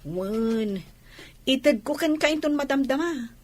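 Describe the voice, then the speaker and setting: An actress playing a practical village wife in an Ilocano radio comedy drama. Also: female voice, sits high, lively intonation, takes it slowly, heavily acted. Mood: practical, matter-of-fact. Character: practical village wife instructing her husband.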